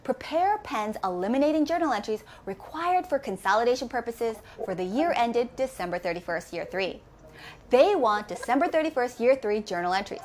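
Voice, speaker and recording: A woman in her thirties teaching accounting, walking through each step and asking quick questions as she goes. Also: fairly high pitch, animated, slight background noise. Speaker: accounting instructor. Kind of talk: recorded lesson walkthrough. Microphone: camera framed head and shoulders.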